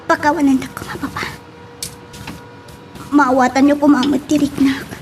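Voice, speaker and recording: Woman acting troubled young daughter, high voice. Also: level tone, normal speed, faint music behind, natural voice. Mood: pleading. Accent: Filipino (Ilocano). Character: Nicole, lovelorn young student daughter, pleading and troubled. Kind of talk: Ilocano radio drama acting.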